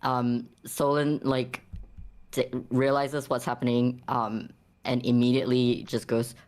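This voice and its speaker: Woman, extremely low pitch, casual improvised game narration, halting delivery. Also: little pitch movement, a little mellow. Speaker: tabletop RPG player.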